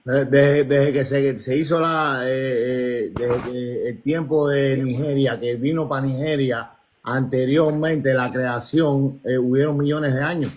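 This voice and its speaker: A man in a casual religious debate, talking emphatically with halting starts and repetition. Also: medium pitch, steady tone, a little mellow, a little hiss or hum. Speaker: discussion participant.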